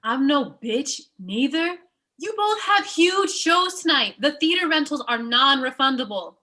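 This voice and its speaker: Woman as bossy exasperated young woman, high sharp voice, no-nonsense comedy delivery. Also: lively intonation, unhurried, full character voice. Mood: indignant. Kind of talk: comedy table read.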